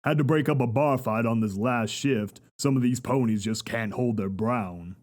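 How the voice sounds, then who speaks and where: A weary, authoritative male security officer in an audio drama , played by a man. His voice sits at a middle pitch. He talks tersely, like someone used to giving orders. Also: moderate pace, darkish tone, slight background noise, close to an everyday voice. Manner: terse, commanding.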